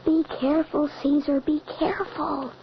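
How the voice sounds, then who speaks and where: A woman's voice: high voice, flat delivery, moderate pace, natural voice. A woman playing an anxious young boy in an eerie 1940s radio drama. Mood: anxious, hushed. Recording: muffled, noisy old radio recording.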